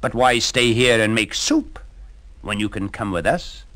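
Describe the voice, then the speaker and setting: A man's voice: fairly low pitch, steady tone, a little slow, a bit bright. A man voicing a cheerful, kindly donkey who talks in a hearty, encouraging way. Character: cheerful wandering male donkey, kindly leader.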